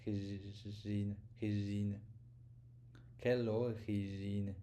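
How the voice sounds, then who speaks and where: An adult man learning French, repeating practice phrases slowly and stumbling over words as he records himself. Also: fairly low pitch, little pitch movement, warm tone, a little hiss or hum. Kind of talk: self-recorded pronunciation practice.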